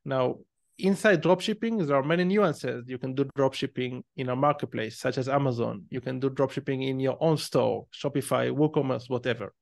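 A man's voice: mid-range voice, a little fast, gravelly, no room sound, studio quality. Israeli man telling his story. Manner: storytelling, explains step by step. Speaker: guest. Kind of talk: conversational interview.

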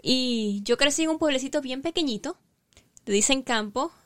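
A woman's voice: high voice, moderate pace, fairly bright tone. Woman co-host explaining. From Cuba. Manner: storytelling, explanatory. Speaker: podcast co-host.

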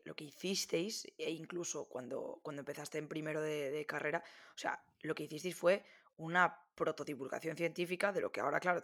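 A woman hosting a podcast interview in a casual, chatty way, with informal, enthusiastic interjections. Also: sits low.

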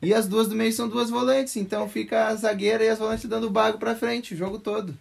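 A man's voice: high voice, flat delivery, fast, creaky. A 24-year-old man, jokey and opinionated, chatting casually about sport. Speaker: podcast co-host.

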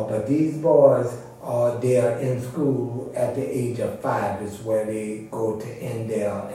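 A man explaining a story informally to a congregation, in a conversational and unhurried manner. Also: on the low side, mellow. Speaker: church presenter introducing and recapping an audio drama. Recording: very echoey room, far from mic.

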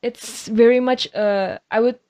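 A woman's voice: fairly high pitch, fairly even intonation, a little slow, a little mellow. A young woman asking questions in a casual conversation, curious and chatty with lots of fillers. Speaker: interviewer.

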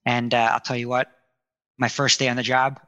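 Bright-voiced earnest guest man. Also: medium pitch, level tone, normal speed. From United States, New Jersey. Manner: earnest, reflective, conversational. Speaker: guest, company founder. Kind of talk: casual interview chat.

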